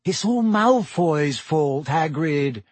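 Man voicing an earnest, firm schoolgirl, husky. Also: fairly high pitch, expressive, normal speed, dry recording. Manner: earnest, careful.

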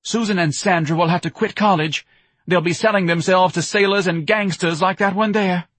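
Melodramatic storybook wife, high-pitched and accusatory, forever wailing over her ruined life; a male narrator plays her. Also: expressive, fairly quick, slightly rough, dry recording. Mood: accusing, outraged. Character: melodramatic, nagging, self-pitying middle-aged wife.

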